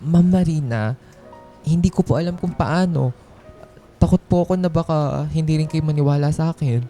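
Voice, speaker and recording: A woman's voice: low voice, moderate pace, mellow. A woman playing a timid, wronged office worker in a radio drama, speaking hesitantly and deferentially over music. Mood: nervous, respectful. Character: timid, wronged female office employee, downtrodden. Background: background music.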